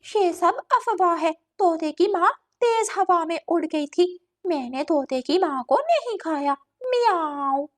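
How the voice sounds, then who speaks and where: Woman voicing a sly, high-pitched female cat villain. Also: animated, measured pace, hardly any pauses. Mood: defensive. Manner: wheedling, punctuated with meows. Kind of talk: children's animated story dialogue.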